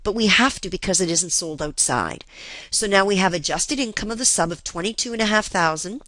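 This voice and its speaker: A woman instructor explaining material step by step in a didactic way, with reminders and quick check-ins. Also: medium pitch, lively intonation, pushed and bright, dry recording. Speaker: accounting instructor. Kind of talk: explanatory lesson walkthrough.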